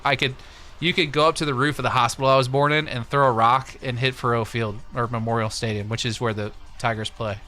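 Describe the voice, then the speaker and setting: A man's voice: medium pitch, fairly even intonation, quick pace, bright, forceful tone. An American man from Missouri hosting a livestream, chatting casually and giving his opinions as he answers viewers on a close desktop microphone. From Missouri, United States. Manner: conversational, opinionated. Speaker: livestream host. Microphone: close desktop USB microphone.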